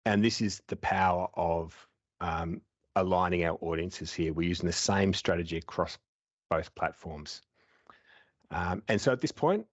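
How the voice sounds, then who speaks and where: Man, 30s, low voice, headset presenter, even explainer, dull dry call audio. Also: dry recording. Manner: steady explanatory pace with frequent "um" and "uh". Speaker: webinar presenter. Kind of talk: presenting slides.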